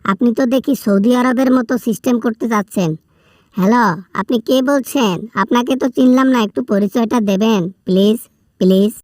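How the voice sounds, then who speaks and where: A woman's voice: a little high, brisk, mellow, no room sound, natural voice. Woman doing a pleading bangladeshi jailbird guy in a phone skit, whiny, keeps repeating words, dry sound. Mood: flustered, complaining. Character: whining, pleading male prisoner taking a phone call from jail. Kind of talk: comic prank-style phone call skit.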